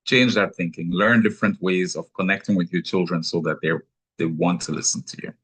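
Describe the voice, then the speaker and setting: A man with a Guyanese accent, giving a measured, explanatory teaching talk with touches of gentle humor. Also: sits low, fairly even intonation, husky. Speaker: speaker on anger management.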